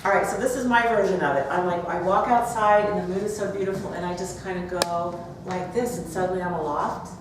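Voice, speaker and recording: A woman's voice: fairly low pitch, steady tone, brisk, few pauses. A woman author speaking and reading aloud at a live event. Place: bookstore, audience event. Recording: roomy, distant, noisy live event recording.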